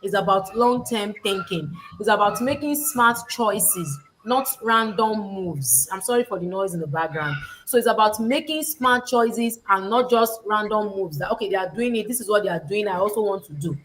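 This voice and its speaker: A woman's voice: mid-range voice, animated, moderate pace, a bit bright, low-quality recording. A woman hosting a live online talk, giving a motivational lesson on business strategy and repeating her key phrases for emphasis.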